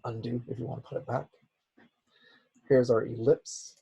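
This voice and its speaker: A man with a raspy, hoarse voice, as he is losing it, teaching a software class with many "okay"s. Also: medium pitch, little pitch movement, moderate pace, highs cut off. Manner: repeats "okay" as a filler. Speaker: instructor. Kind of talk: software tutorial lecture.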